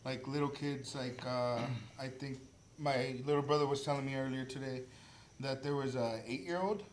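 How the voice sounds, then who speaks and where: A man's voice: medium pitch, steady tone, normal speed. A man in his early twenties co-hosting a casual podcast, informal and sweary, feeling his way through his sentences. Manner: informal, swearing, hesitant phrasing. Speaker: podcast host. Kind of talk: casual group podcast chat. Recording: echoey room, faint background noise.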